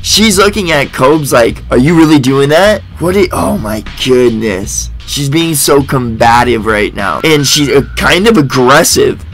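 A man's voice: mid-range voice, expressive, unhurried, few pauses, a bit bright, soft background music. A man with a stuffed-up nose giving mocking reaction commentary, laughing often. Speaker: commentary host. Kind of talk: reaction commentary over a stream clip. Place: voice-over, not the pictured room.

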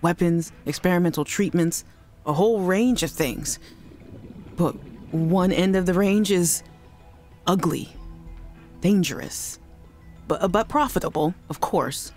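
An actress playing a worried woman scientist who leaves halting, apologetic voice messages for her sister in a video game. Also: fairly low pitch, darkish tone, faint music behind. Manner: anxious, apologetic, halting restarts. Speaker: game character.

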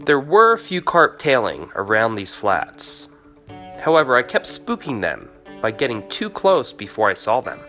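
A young man casually narrating his fishing trip, speaking quietly as he stalks fish by a lake. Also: wildly swooping pitch, normal speed, faint music behind. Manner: hushed and low while stalking fish. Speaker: fishing vlogger. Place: outdoors by lake flats, partly in a car. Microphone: action camera on head or in hand.

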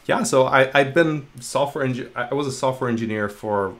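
Fast-talking man from Hungary. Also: mid-range voice. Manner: fast, restarting, repeats words. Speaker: guest, engineering manager. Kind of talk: casual interview talk. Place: home room, video call. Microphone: earphone headset mic.

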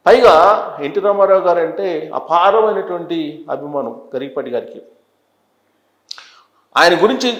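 Higher-pitched man commentating, roomy echo. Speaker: news analyst presenter. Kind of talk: unscripted news commentary. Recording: echoey, roomy sound.

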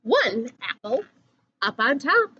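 A young adult woman reading a rhyming picture book aloud to children in an expressive, singsong way. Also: a little high, takes it slowly, breathy edge, dull audio. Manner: animated, singsong rhyming delivery. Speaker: children's story reader.

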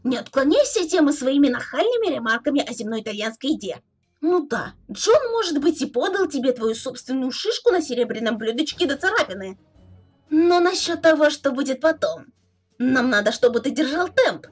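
Casting: woman as teenage alien troll girl, bossy and teasing, high and swooping, theatrical webcomic dub. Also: sing-song, brisk, soft background music. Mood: teasing, excited. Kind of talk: fan dub of a webcomic chat.

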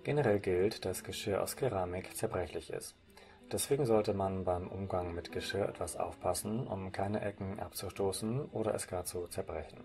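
A man's voice: deep voice, moderate pace, a little mellow, soft background music. A man from Germany presenting, reading scripted narration for a slide presentation in a formal way. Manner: formal, read-out delivery.

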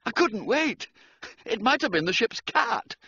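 A man voicing a panicky young gentleman who rambles frantically in a breathless rush. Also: very high-pitched, big pitch swings, a little slow, breathy edge, muffled recording, pitched above the performer's own voice, full character voice. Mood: frantic.

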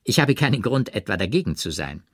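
A man's voice: fairly low pitch, sing-song, brisk, fairly bright tone, slightly rough, dry recording, studio quality, heavily acted. A man voicing a cool, ironic, haughty aristocratic princess with affected, mannered emphasis in an audiobook. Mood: haughty, dismissive. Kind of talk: audiobook character reading.

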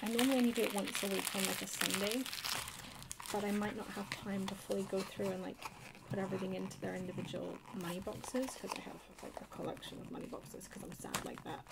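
A woman chatting softly in an ASMR haul video, hushed and often slipping into a whisper. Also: mid-range voice, little pitch movement, fast talker, creaky. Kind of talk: soft-spoken ASMR haul chat. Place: indoors at a table. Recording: noisy close home recording.

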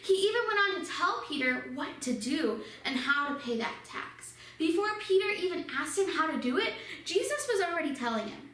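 Bright, animated woman teaching kids. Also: very high voice, normal speed, hardly any pauses. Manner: animated storytelling, addressing children directly. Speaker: children's church teacher. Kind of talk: Bible lesson for kids. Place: small studio with red backdrop. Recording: echoey room, voice from some distance.

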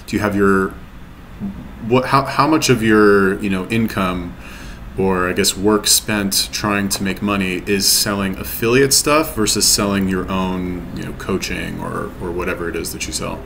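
A man's voice: deep voice, normal speed, gravelly, fairly bright tone, a little hiss or hum. A man in his thirties hosting an interview over a video call, asking questions with hesitant "um"s and "you know"s. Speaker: interview host. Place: home room indoors. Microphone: video call webcam.

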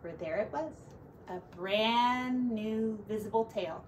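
A woman's voice: fairly high pitch, slow pace, a little mellow. A woman teacher reading a storybook aloud to young children, expressively, with dramatic emphasis and little asides to her listeners. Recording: slightly distant mic, faint background noise.

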